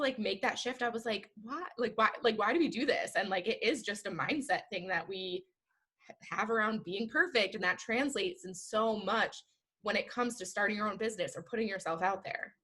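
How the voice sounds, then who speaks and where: A woman's voice: medium pitch, fairly bright tone. Earnest chatty woman podcast guest. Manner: earnest, chatty, many "like" fillers. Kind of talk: casual interview chat. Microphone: video call.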